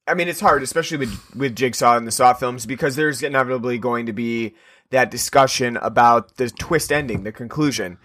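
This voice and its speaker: A man's voice: medium pitch, a little fast, pushed and bright. A man hosting a podcast in casual chat, rambling with lots of fillers. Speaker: podcast host.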